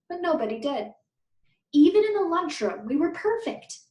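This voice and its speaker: A woman reads a children's story aloud in an expressive teacher's style. Her voice sits fairly high. The sound is clearly roomy and echoing. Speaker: teacher reading a book aloud.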